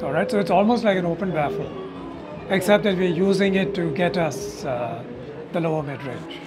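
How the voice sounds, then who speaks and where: A man in his sixties explaining technical details in an interview, in a halting way, often checking with "okay". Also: sits high, over music. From India. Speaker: loudspeaker company owner and designer, interviewee. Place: hotel corridor at an audio show. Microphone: lapel mic.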